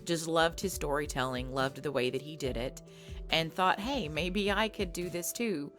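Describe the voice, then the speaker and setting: Low-voiced woman answering fan questions. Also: moderate pace, a bit bright. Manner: chatty, with many fillers and self-conscious laughs. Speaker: ASMR audio creator hosting a Q&A. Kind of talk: casual spoken Q&A, reading questions. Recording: faint background music.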